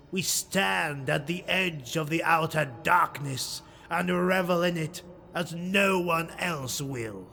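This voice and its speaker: A man voicing a proud, hate-filled dark-elf nobleman, declaiming defiantly and theatrically in a fantasy audiobook. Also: a little high, voice pushed up.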